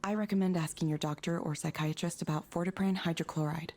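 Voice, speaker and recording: Mellow, polite female AI counselor, voiced by an actress. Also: fairly low pitch, steady tone, quick pace, lightly acted. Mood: professional, detached. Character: polite, scripted AI counselor, neutral, soothing, female. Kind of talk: video game voice acting.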